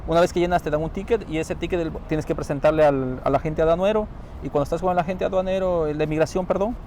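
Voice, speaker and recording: Interviewee, male, Ecuadorian accent, calm and explanatory, fairly high voice, outdoors with faint traffic. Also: fast. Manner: calm, explanatory, often trails off softly. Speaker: interviewee, newly arrived asylum seeker. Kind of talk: casual interview answers. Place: outdoors on a city street. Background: faint traffic noise.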